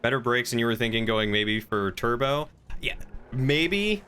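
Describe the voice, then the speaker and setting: A man's voice: mid-range voice, lively intonation, a little slow, bright, forceful tone, a little hiss or hum. A man streaming a game, chatting casually and improvising roleplay in a polite, hesitant way.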